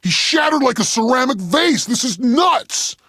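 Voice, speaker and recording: An actor playing a snarky, awkward radio DJ in a video game, talking in a deadpan, rambling comedic patter. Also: male voice, on the low side, moderate pace, dry recording, clean studio sound. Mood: alarmed. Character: snarky, awkward adult man hosting a radio show. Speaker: radio DJ character.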